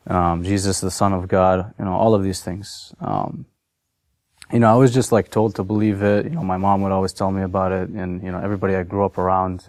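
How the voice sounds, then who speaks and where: A man in his thirties from Massachusetts, telling his personal story in a halting, unscripted way full of fillers. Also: low voice, darkish tone, no room sound. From Massachusetts, USA. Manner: hesitant, many fillers and restarts. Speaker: convert sharing his story.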